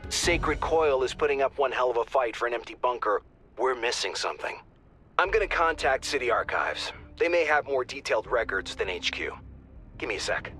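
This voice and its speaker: An actor playing a joking squad handler on comms in a game, wry and lively in delivery. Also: male voice, mid-range voice. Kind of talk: game cutscene voice acting.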